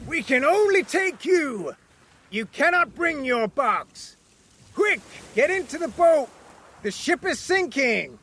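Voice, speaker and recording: A man voicing a loud, commanding sea captain. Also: high voice, expressive, measured pace, pitched above the performer's own voice. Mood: shouting orders. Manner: shouting orders over a storm.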